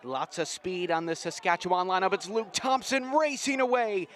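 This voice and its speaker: Male play-by-play caller, slightly high voice, folksy and enthusiastic, live rugby outdoors. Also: normal speed, fairly bright tone. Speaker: play-by-play sports commentator. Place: outdoors at a sports field.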